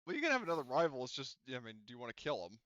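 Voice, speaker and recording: A man running a tabletop roleplaying game as game master, casual and quick to laugh, talking through fillers. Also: mid-range voice, wildly swooping pitch, fairly bright tone, dry recording, muffled recording.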